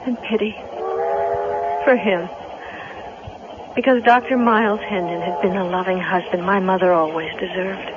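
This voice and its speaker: Actress as proud young radio-drama heroine. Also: female voice, medium pitch, fairly even intonation, moderate pace, pauses often, close to an everyday voice. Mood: sorrowful, confessional. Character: grieving, proud young woman, heroine and narrator. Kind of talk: 1970s radio drama acting. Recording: noisy, muffled vintage radio broadcast.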